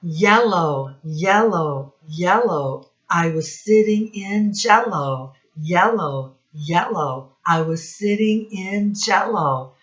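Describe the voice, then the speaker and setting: A woman teacher speaking to young children in a singsong instructional style, with simple phrases and deliberate repetition. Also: lowish voice, animated, fairly bright tone, reverberant. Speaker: children's teacher and read-aloud host. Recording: dull, lo-fi sound.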